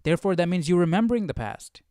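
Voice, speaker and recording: A man hosting a live Bible study in a casual, questioning way, thinking out loud as he reads and comments. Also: high-pitched, lively intonation, fast talker, clear, resonant tone, no room sound, clean studio sound.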